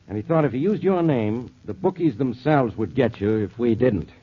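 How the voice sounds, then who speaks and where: An actor playing a blunt, grudging police inspector in a 1940s radio detective drama, talking colloquially. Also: male voice, medium pitch, lively intonation, normal speed, natural voice. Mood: grudging, griping. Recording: dull lo-fi 1940s radio recording.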